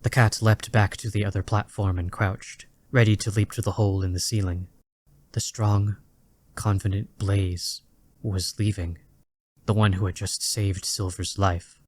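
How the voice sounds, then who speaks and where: A man reading scripted story narration in a deliberate, dramatic way. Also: lowish voice, fairly even intonation.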